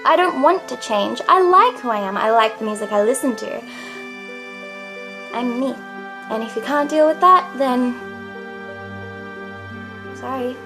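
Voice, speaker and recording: A young woman, probably in her teens, talking to camera in a confessional vlog, with a high, girlish voice and a dramatic, self-mocking manner. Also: animated, a little slow, pauses often, over music, poor audio. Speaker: vlogger.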